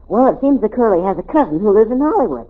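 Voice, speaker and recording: An actress playing a wisecracking, scheming young brother who teases dryly in an old-time radio comedy. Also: female voice, mid-range voice, sing-song, fast. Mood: smug. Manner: dry teasing, scheming. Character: wisecracking young kid brother, sly and sarcastic. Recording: noisy, phone-like old radio recording.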